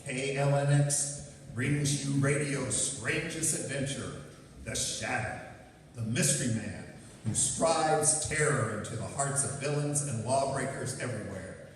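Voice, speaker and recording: A man's voice: mid-range voice, measured pace, a little hiss or hum, natural voice. Man playing a theatrical old-time radio announcer in an echoing hall. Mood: dramatic, portentous. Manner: theatrical, portentous. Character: dramatic 1940s radio mystery show announcer. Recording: echoey hall from far off, music behind.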